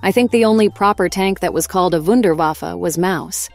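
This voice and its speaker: A woman voices a cheeky female war tank with a lower voice. She talks frankly and wryly in audio-drama dialogue, with music underneath. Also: a little fast, creaky. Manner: frank, wry.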